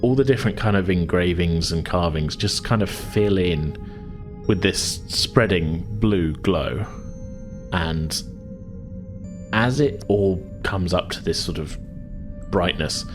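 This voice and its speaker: A man's voice: low voice, slow, over music. A man working as game master, narrating a tabletop sci-fi adventure in a hesitant, conversational, descriptive way.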